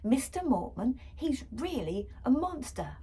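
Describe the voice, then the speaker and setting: A high, urgent young girl, played by a woman reading a storybook. She is breathless and frantic, shouting and pleading to be believed. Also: big pitch swings, measured pace, slight background noise. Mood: frustrated. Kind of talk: children's storybook character voice.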